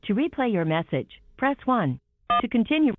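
A woman's recorded voicemail prompt with even phrasing. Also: fairly high pitch, moderate pace, heavy background noise. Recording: tinny phone line.

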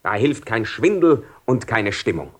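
Cynical seducer nobleman, low, sweeping delivery. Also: sing-song, unhurried, breathy edge, exaggerated voice. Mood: detached, exalted. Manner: theatrical, articulate, ironic, declaiming. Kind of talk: 1970 German radio drama acting.

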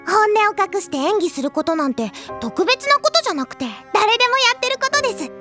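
A woman's voice: very high-pitched, big pitch swings, a little fast, bright, forceful tone, faint music behind, heavily acted. A woman playing a cute younger schoolgirl, polite and playful, in an anime-like Japanese audio drama. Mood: subdued, frank. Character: cute, teasing younger schoolgirl masking her true feelings.

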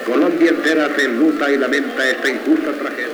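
A man's voice: medium pitch, fairly even intonation, fast, noisy background. A man delivering a solemn radio news announcement as part of a radio play. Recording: roomy radio sound under music.

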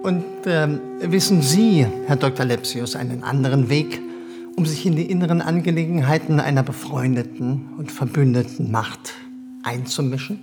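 A man's voice: a little high, normal speed, over music, lightly acted. A man in a radio drama playing a condescending German government official, formal, evasive and hesitantly polite. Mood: cool, measured.

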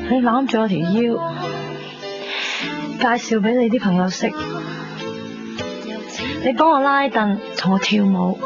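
A woman's voice: fairly high pitch, natural voice. Actress as wounded young Cantonese woman, music-backed. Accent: Hong Kong Cantonese. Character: wounded, wary young woman confronting her suitor. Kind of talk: 1990s Hong Kong radio drama acting. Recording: dull lo-fi, loud music behind.

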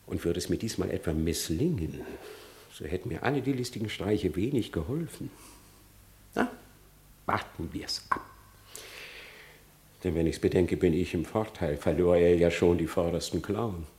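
A man's voice: sits low, measured pace, long pauses, darkish tone, roomy sound, a little hiss or hum. An actor playing a cunning male fox on trial in a radio play, pleading and then defiant. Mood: scheming. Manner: pleading, wheedling, rising to bold defiance.

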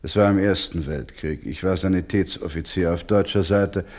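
Low-voiced, solemn older doctor, played by an actor. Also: male voice, a little mellow, close to an everyday voice. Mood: calm dignity. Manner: solemn, deliberate, formal, with emphatic repetition. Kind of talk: German radio play acting.

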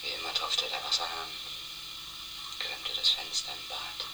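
A man playing a haunted, bitter, obsessive former editor in a radio drama, brooding in halting literary monologue that often sinks toward a whisper. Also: very deep voice, little pitch movement, bright, forceful tone, a little hiss or hum, exaggerated voice, low-quality recording. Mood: bitter, anguished.

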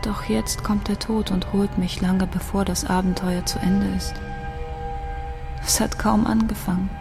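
A woman's voice: mid-range voice, little pitch movement, a little slow, over music, natural voice. An actress playing a dying young woman musician who speaks in a grave, introspective, bitter way, in a radio drama monologue. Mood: grave, reflective.

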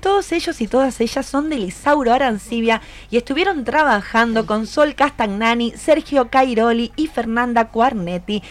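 Woman, radio host, Argentine accent, high-pitched voice, encouraging, conversational interviewing. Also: moderate pace, few pauses. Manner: encouraging, asks many questions. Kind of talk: live radio interview with a student group.

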